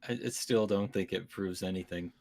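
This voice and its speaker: A man's voice: sits low, a little fast, a little mellow, a little hiss or hum. A man co-hosting a podcast, chatting casually and often restarting his sentences. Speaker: podcast co-host. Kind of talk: casual banter.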